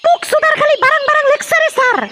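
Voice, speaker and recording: A performer voicing a scolding, sarcastic village mother in a comedy cartoon, with a North Bengal accent and an artificially pitched-up voice. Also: fairly even intonation, very fast. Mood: exasperated, sarcastic. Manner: sarcastic, nagging, coarse-mouthed. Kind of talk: comedy cartoon dialect dialogue. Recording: loud background noise with clicks.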